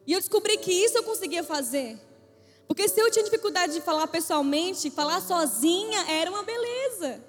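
A very high-pitched woman preaching in a big reverberant hall, faint music under her words. She tells her story playfully and acts out every voice she quotes, like a witty preacher addressing her congregation. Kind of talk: humorous testimony sermon.

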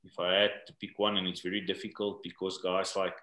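An older man from South Africa, English not his first language, answering interview questions in a halting way and often repeating words. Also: deep voice, little pitch movement, pushed and bright, echoey room. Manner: halting, repeats words while searching for them. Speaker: guest, rugby coach. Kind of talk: podcast interview.

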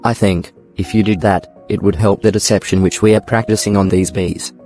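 A man playing a naive, earnest toy bear who loves honey, pondering with gentle self-importance in a storybook dramatisation. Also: lowish voice, steady tone, fairly quick, natural voice. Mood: earnest scheming. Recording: background music.

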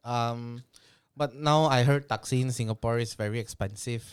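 A man voicing a chatty male guest named Edward with a put-on Thai-Filipino accent, talking higher and more sheepishly than his own voice. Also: normal speed, smooth, no echo, studio quality, lightly acted.

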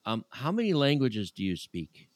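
A man's voice: medium pitch, big pitch swings, moderate pace, dry recording, studio quality. A man co-hosting a podcast, chatting casually and asking inquisitive questions. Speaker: podcast co-host. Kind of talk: casual chat.

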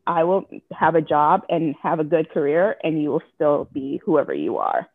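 A woman's voice: sits low, expressive, normal speed, breathy edge, no echo. Frank woman on a tinny line. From Jamaica. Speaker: interview guest. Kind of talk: candid personal interview.

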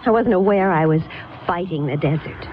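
A woman's voice: lowish voice, normal speed, slight background noise, lightly acted. A woman playing a spirited, skeptical young apprentice in a 1970s radio drama, with a sardonic, protesting dramatic delivery. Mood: frustrated, defiant. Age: young adult. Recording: dull, lo-fi vintage radio recording.

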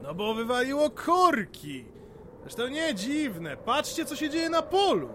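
An actor playing a middle-aged father in an audio drama, hearty, folksy and gruffly mocking, full of exclamations. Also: very high-pitched, very animated, a little slow, fairly bright tone, slight background noise, full character voice. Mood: alarmed. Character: hearty, gruffly teasing father at a family party. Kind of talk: Polish audio drama dialogue.

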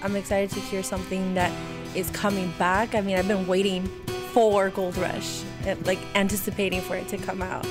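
A woman with a mid-range voice talks as an excited fan. Her style is informal and chatty, and guitar music plays loudly behind her. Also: mid-range voice, normal speed. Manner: enthusiastic, informal. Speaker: fan of an audio drama. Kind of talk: casual chat, interview.

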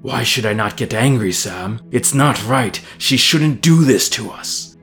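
A man voicing a hobbit gentleman, aggrieved and brooding, protesting injustice in a fantasy audio story. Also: on the low side, fairly even intonation, takes it slowly, soft background music. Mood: rising anger.

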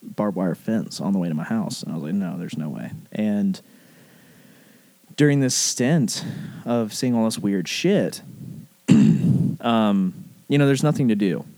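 A man from the rural American South telling a personal story casually, in animated, rambling speech full of profane slang. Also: lowish voice, a little slow, dry recording. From southern United States, rural Alabama.

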